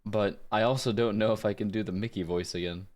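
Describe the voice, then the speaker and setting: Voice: deep voice, fairly even intonation, a little fast, darkish tone. A voice actor hosting a livestream, talking casually between takes.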